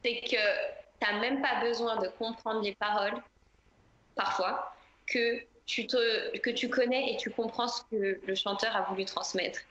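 A woman singer answering interview questions in a relaxed, chatty way, with many fillers. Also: a little high, measured pace, reverberant, highs cut off. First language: French.

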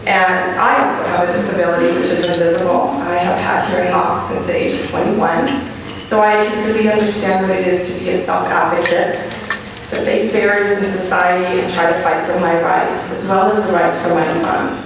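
A middle-aged woman, hoarse from laryngitis, giving an earnest personal speech at a podium. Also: fairly low pitch, fairly even intonation, fairly quick, distant mic. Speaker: parent speaker. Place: large banquet hall. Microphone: podium mic from a distance. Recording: echoey hall from afar with noise.

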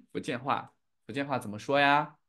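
A man's voice: medium pitch, very animated, a little slow, a bit bright. A young man from Chengdu with a Chinese accent, teaching Mandarin on a live stream and switching between English and Chinese. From Chengdu, Sichuan, China. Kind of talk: live-streamed language lesson.

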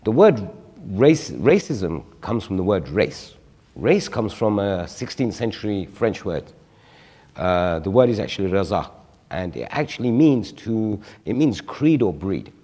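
A man's voice: on the low side, big pitch swings, unhurried, warm tone, long reverb tail. A man in his forties, a guest on a studio panel discussion, explaining his view reflectively with examples. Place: TV studio stage.

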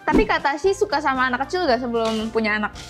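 A young woman hosting a casual chat, reacting lightly and quickly. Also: sits high, animated, music in the background. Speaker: host. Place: bright indoor room.

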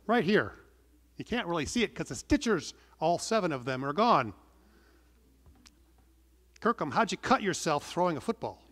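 A middle-aged man preaching a sermon from the pulpit, earnest and measured, stressing some words and letting phrase endings fall soft. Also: on the high side. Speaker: pastor. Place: large wood-panelled church, indoors. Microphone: pulpit microphone. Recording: long church reverb.

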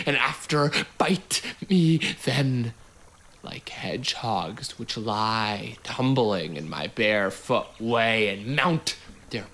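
Man playing bitter island monster, tormented, halting, sharp-edged, slow, Shakespearean radio drama. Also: mid-range voice, slight background noise. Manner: forceful, halting, theatrical. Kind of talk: Shakespearean radio play acting.

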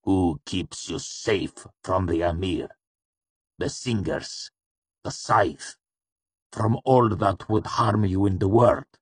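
A man voicing a hooded dark lord in a fantasy story, cool and soft, speaking with patient, polite menace. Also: sits low, husky, no echo, heavily acted. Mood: slow patience. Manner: calm, polite, deliberate menace.

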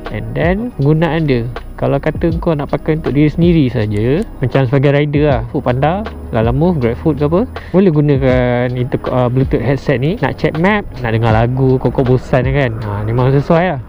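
A man's voice: medium pitch, moderate pace, few pauses. A young man with a Malaysian accent giving a casual product review as a motorcycle vlogger, slangy and mixing in English words. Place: outdoors on a motorbike at a roadside. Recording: dull muffled audio, loud background music. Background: music added behind the voice.

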